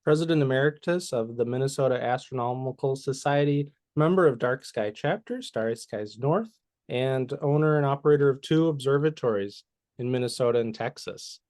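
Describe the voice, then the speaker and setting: A man's voice: mid-range voice, lively intonation, normal speed, a little mellow, no room sound, clean studio sound. A man hosting a webinar, reading a prepared introduction of the guest speaker. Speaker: webinar host.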